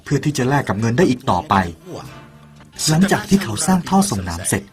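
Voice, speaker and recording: A man's voice: mid-range voice, sing-song, fast talker. A man narrating a parable in an earnest, persuasive way and speaking directly to the listener. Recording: soft music behind the voice.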